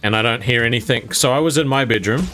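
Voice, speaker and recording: A man's voice: medium pitch, fast, pushed and bright, faint music behind. Chatty young man telling a story. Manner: rambling with fillers, laughing as he tells it. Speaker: gaming YouTuber telling a personal story. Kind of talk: casual storytelling to camera.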